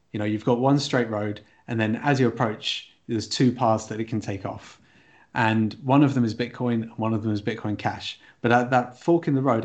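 Mid-pitched man explaining tech conversationally. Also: a little mellow. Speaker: podcast co-host.

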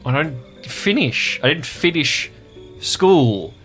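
A man playing a dejected, self-blaming young worker in an improvised audio drama, talking in a halting, defeated way. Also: fairly high pitch, sing-song, a bit bright, faint music behind, pitched above the performer's own voice, exaggerated voice. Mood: upset, self-blaming.

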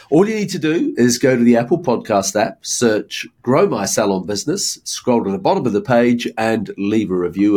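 A man hosting a podcast, who reads a prepared intro and then chats with his guest in a measured, earnest way. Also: mid-range voice, darkish tone, husky. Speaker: podcast host. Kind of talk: scripted podcast intro, then casual interview.

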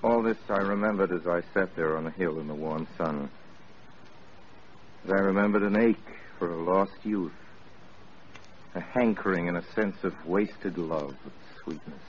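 A man narrating an old radio drama in a measured, wistful, theatrical voice. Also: sits low. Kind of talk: 1940s radio acting. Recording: dull old radio broadcast with loud hiss.

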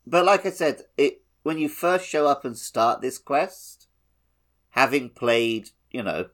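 Wry man with a mid-pitched voice. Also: expressive, slow, fairly bright tone. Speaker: podcast co-host. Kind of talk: casual gaming podcast chat.

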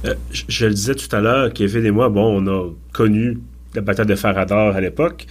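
A man's voice: lowish voice, steady tone, brisk. A man, hosting a podcast and asking long, hesitant questions with many restarts. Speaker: podcast host. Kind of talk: casual podcast interview.

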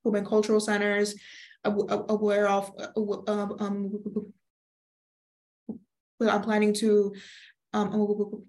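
Young woman, student guest, slow unhurried pace, flat tone, lots of fillers and repeats. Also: mid-range voice. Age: young adult. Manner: many fillers and stuttered repetitions. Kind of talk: interview answers.

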